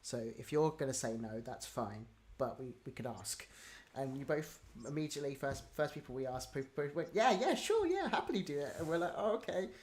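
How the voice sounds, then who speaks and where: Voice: medium pitch, brisk, few pauses. A host reading out audience questions and chatting casually with guests, often laughing. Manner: laughing, lively retelling. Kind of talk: casual chat.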